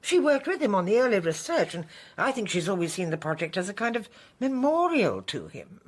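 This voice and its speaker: A woman with a husky voice acting in a British radio drama as a composed, earnest witness. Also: mid-range voice, huge pitch swings, fairly quick. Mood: regretful.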